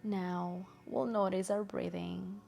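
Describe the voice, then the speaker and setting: A woman guiding a meditation in a gentle, soothing, soft-spoken way with long pauses. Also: mid-range voice, flat delivery, gravelly, faint music behind. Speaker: meditation guide. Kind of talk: guided meditation narration.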